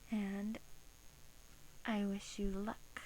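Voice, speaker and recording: A woman playing a sweetly menacing, teasing vampire in a soft, breathy ASMR roleplay, often dropping into a whisper, coaxing and condescending. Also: mid-range voice, flat delivery, extremely slow, low-quality recording. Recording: faint hiss.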